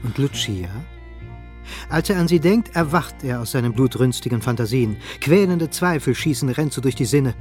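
A man's voice: medium pitch, lively intonation, normal speed, darkish tone, soft background music. A man narrating a literary radio play in a scripted, storytelling style.

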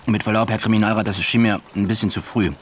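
A man's voice: lowish voice, fairly even intonation, quick pace, slight background noise, natural voice. A man playing a careful junior police detective in a radio drama, reporting in a factual, reserved way. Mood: careful, deferential. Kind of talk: German radio drama acting.

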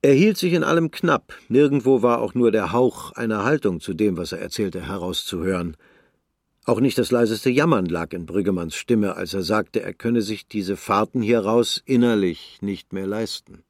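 A man narrating a literary radio play in a measured, even way. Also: on the low side, no room sound, clean studio sound.

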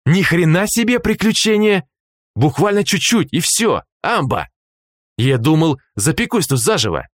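A male narrator voicing a brash, cheerful male adventurer in a raised, excited voice. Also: fairly high pitch, measured pace, no echo, studio quality, voice pushed up. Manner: raised, animated, excited character voice.

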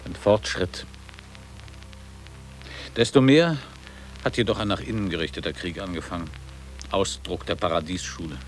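Deep-voiced reflective former pupil, acted by a man. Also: soft background music, close to an everyday voice, low-quality recording. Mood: grave, reflective. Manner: measured, lyrical, formal recitation. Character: reflective older man, former pupil revisiting his boarding school. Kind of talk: literary radio drama monologue.

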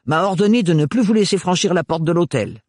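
A husky-voiced male narrator voicing a gruff, burly, blustering man in an audiobook. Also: sits high, animated, fairly quick, a little mellow, no echo, studio quality, voice pushed up. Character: gruff burly working man, loyal and rough.

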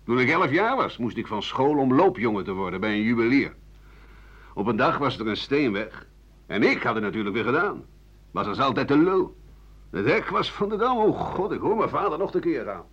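A man's voice: mid-range voice, big pitch swings, normal speed, a bit bright, slightly rough. An actor playing an embittered ageing writer and doctor who rambles sardonically through his memories in a radio monologue. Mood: bitter reminiscing. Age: older. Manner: rambling, bitter, sardonic, with muttering and dry chuckles. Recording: dull 1980 radio play recording.

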